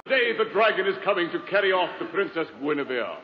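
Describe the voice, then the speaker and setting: A man's voice: high voice, very animated, fast talker, over a noisy crowd. A man playing a stately king and formal announcer in a 1940s radio comedy. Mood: alarmed. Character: stately, worried old-time costume-drama king. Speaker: announcer and actor. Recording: dull, echoing, noisy old radio broadcast.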